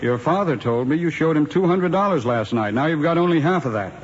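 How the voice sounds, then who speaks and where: An actor playing a firm, skeptical police lieutenant with a dry, probing manner in an old radio drama style. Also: male voice, on the high side, expressive, brisk. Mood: accusing. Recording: dull, noisy old radio recording.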